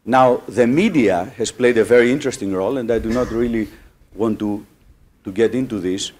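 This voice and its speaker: A man from Greece making an audience comment at a conference, halting with many uhs and restarts. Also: medium pitch, darkish tone. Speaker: audience member.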